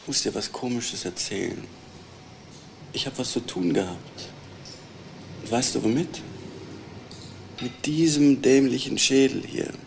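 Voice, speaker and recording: A man playing an edgy, restless barfly in a crime drama, irritable and grumbling, with a gravelly voice. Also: medium pitch, measured pace, pauses often. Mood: brooding. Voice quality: creaky, gravelly. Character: edgy, restless man haunting pubs, hunted witness. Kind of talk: naturalistic crime film acting. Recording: noisy room.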